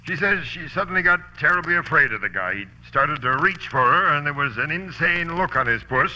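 An actor playing a gruff, slangy police captain in a 1940s radio crime drama. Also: male voice, a little high, animated, a little fast, bright, forceful tone. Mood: gruff, matter-of-fact. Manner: blunt, slangy tough talk. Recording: dull, noisy old radio recording.

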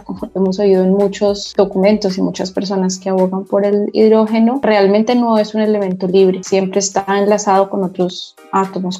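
A Colombian woman in her forties speaks with a Bogotá accent, explaining things carefully and at a measured pace, sometimes restarting a phrase. Her voice is mellow and unhurried. The audio is a little muffled and lo-fi. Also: medium pitch. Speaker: guest expert. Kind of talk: podcast interview.

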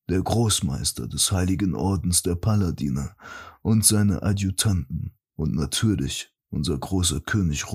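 A man voicing a solemn, righteous archmage priest who speaks in a formal, pompous, husky way in a fantasy audiobook. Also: deep voice, darkish tone, heavily acted. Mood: solemn warning. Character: solemn, righteous archmage priest reading his letter aloud. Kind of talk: fantasy audiobook, scripted dramatic monologue.

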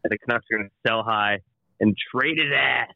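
A man's voice: mid-range voice, fairly quick, gravelly, no room sound. A man co-hosting a casual sports chat, blunt and profane. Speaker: sports podcast co-host. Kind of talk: casual sports chat over a phone line. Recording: tinny phone line.

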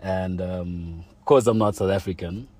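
A man's voice: deep voice, a little slow, dark tone. A young man telling a personal story in casual chat, full of slang and fillers like "like" and "you know". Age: young adult.

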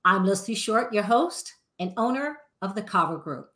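A woman hosting a podcast interview, introducing her guest and asking questions in a deliberate way. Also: mid-range voice, expressive. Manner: deliberate, warm introductions with light laughs. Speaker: podcast host.

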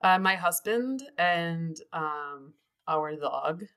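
A woman in her forties with a Quebec French accent, a native French speaker, chatting as a podcast guest with frequent pauses and restarts. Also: low-pitched, expressive, a bit bright, clean tone. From Quebec, Canada. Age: 40s. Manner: earnest, pauses often with fillers and restarts. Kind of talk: casual interview chat.

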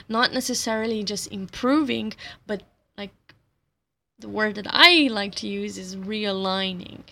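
A woman whose first language is Slovenian talks at a slow, unhurried pace. She has an even, mid-range voice and explains her reflective spiritual ideas gently, with soft laughs between her thoughts. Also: medium pitch. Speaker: astrology teacher.

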